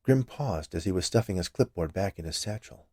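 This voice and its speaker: Low-voiced man reading a story. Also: lively intonation, a little fast, warm tone, dry recording, clean studio sound. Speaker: story reader. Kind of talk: scripted story narration.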